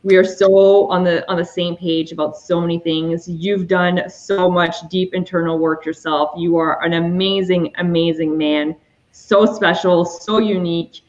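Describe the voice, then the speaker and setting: French-Canadian woman host, effusive, low voice on a dull lo-fi video call. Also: steady tone, measured pace, darkish tone. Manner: effusive, gushing praise. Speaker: host of a dating show. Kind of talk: casual livestream chat.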